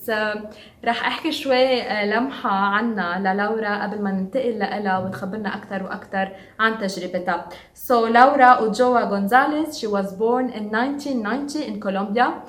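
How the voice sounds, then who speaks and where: A woman's voice: mid-range voice, fast talker, echoey room. A woman with a Lebanese Arabic accent, hosting a television interview and interpreting for viewers. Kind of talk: presenting and translating for viewers.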